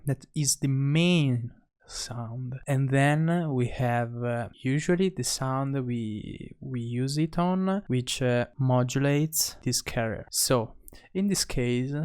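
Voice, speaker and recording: A man narrating a software tutorial as an instructor, speaking haltingly and pausing between short phrases. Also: medium pitch, clean tone.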